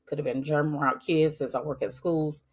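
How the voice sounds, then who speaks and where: A middle-aged woman with a voice gone raspy from a cold, hosting a faith-based podcast in an earnest, emphatic way and talking straight to her listeners. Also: low voice, fairly even intonation, normal speed. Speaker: podcast host.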